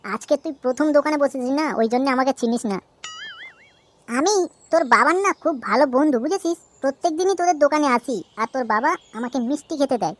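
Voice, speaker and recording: Brash cartoon schemer, woman acting, high and rapid, coarse, argumentative comic delivery. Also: animated. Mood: sly. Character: brash cartoon trickster and naive shopkeeper's child. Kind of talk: Bengali comedy cartoon voice acting.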